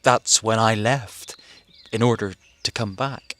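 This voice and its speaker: An actor playing a haunted fugitive physicist in a wartime audio drama, musing hesitantly as if recording a diary. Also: male voice, on the low side, slow, background noise. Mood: wistful, searching. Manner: hesitant, musing, with sighs and broken phrases. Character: haunted, rambling fugitive physicist, man living through a war.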